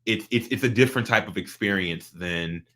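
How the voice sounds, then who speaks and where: A man's voice: lowish voice, fairly quick, bright, forceful tone. A man from North Carolina, hosting a casual interview in a thoughtful way, with many restarts. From North Carolina, USA. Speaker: host.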